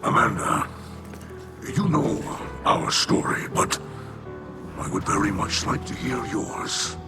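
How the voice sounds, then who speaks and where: An actor voicing a gentle, earnest alien warrior in a video game, with a gravelly growl and formal, deliberate manner. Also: male voice, lowish voice, lively intonation. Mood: gently curious. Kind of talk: video game cutscene acting. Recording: background music under the voice.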